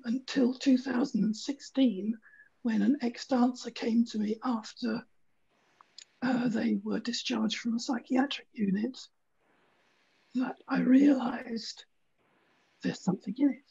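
A woman in her sixties with a groggy voice, briskly summing up her career in short clipped phrases on a video call. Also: fairly high pitch, vocal fry, warm tone, slightly rough. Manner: clipped, list-like, drops into near-whisper. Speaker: panel guest, psychotherapist. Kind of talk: brief spoken career summary. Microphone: laptop mic on video call. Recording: muffled, lo-fi video-call audio.

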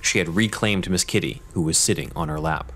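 A man giving scripted dramatic narration in a measured, solemn way. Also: low voice, a bit bright, a little hiss or hum.

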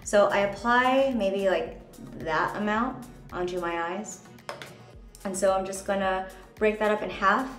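A woman's voice: medium pitch, unhurried, pauses often, a bit bright. A young woman in her twenties, chatting casually through a skincare routine in a bathroom, bubbly and chatty. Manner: bubbly, chatty, lots of "like" and "just". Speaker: vlogger. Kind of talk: casual skincare tutorial talk. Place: hotel bathroom at the mirror. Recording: echoey bathroom, faint music.

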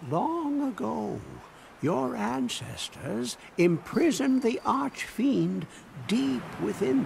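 High, creaky old sage. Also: male voice, slight background noise, heavily acted. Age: older. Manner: slow, theatrical storytelling. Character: wise old male mentor, frail, welcoming, grave. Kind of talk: video game cutscene acting.

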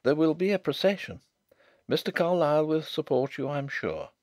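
A man voicing a brisk gentleman detective in his own narrating voice. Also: a little high, expressive, no echo, studio quality, voice pushed up, close to an everyday voice. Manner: brisk, crisp.

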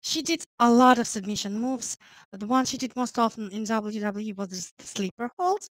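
A woman's voice: a little high, animated, a little fast, dry recording, low-quality recording. A woman giving rambling, casual commentary over a wrestling video game, with many fillers. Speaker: gameplay commentator.

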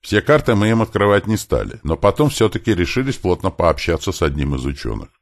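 A man's voice: deep voice, big pitch swings, a little fast, no room sound, clean studio sound, voice pushed up. A man voicing an earnest male professor in an audiobook, explaining things politely and with emphasis. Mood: patient, explanatory.

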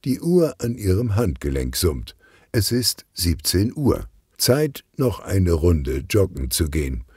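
A man narrating a children's detective story from a script in a husky voice. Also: low-pitched, very animated, takes it slowly, darkish tone, no echo, clean studio sound.

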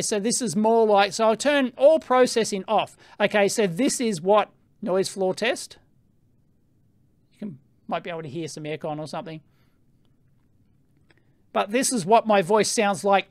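A middle-aged man with an Australian accent, reviewing gear in a casual, excitable way, thinking aloud and laughing at himself in his electronics lab on a studio microphone. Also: very high voice, no room sound. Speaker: electronics video host. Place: cluttered electronics lab indoors. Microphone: studio microphone on a stand.

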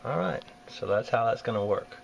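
A man's voice: medium pitch, expressive, moderate pace, vocal fry, background noise. A man with a Southern American accent, an HVAC technician, explaining a furnace wiring job step by step with plenty of uh fillers, filmed on a handheld camera indoors. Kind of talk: hands-on repair walkthrough. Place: indoors at a furnace in a basement or utility space. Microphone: handheld camera filming close-up work.

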